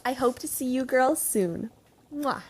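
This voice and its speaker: A woman's voice: high voice, expressive, measured pace, heavy background noise. A woman playing a prim, doting middle-aged mother in an audio drama, polite and sweetly probing.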